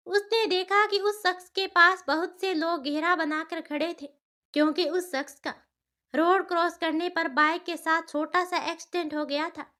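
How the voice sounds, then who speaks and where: Female narrator for dramatic story, very high-pitched, bright delivery.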